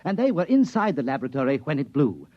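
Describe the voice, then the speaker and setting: An actor playing a dutiful, earnest military captain who reports precisely and deferentially in an old radio drama. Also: male voice, a little high, big pitch swings, quick pace, no room sound. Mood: excited. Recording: dull, dry vintage radio broadcast.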